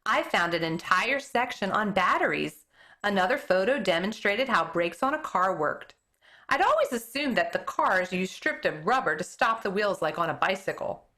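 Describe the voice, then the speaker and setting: A woman reads a chapter book aloud to her class in a bright, ringing voice. Her pitch swoops up and down freely as she tells the story, and she sometimes trips on a word and fixes it as she goes. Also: normal speed. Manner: expressive read-aloud, occasional stumbles and self-corrections. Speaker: elementary school teacher reading aloud. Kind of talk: reading a children's book aloud.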